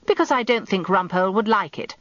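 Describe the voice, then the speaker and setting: A woman playing a brisk, tart older wife in a radio drama, answering with crisp, firm retorts. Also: medium pitch, animated, no echo, highs cut off, voice pushed up, lightly acted.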